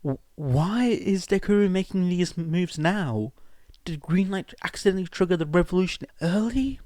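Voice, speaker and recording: A man's voice: high-pitched, animated, moderate pace, mellow, no room sound, clean studio sound, pitched above the performer's own voice. A man voicing an anxious, questioning young woman in audiobook dialogue.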